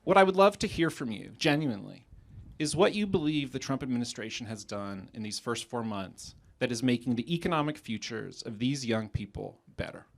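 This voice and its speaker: A man's voice: medium pitch, animated, a little fast, vocal fry, few pauses. A man in a live debate, insistent and pointed as he holds the floor and presses his question. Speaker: debate opponent with a PhD.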